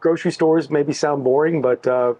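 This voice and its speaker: A middle-aged man talking about his work in an easygoing, halting way, with many restarts. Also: a little high, fairly even intonation, warm tone. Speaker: tech executive, interviewee. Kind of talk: casual backstage interview.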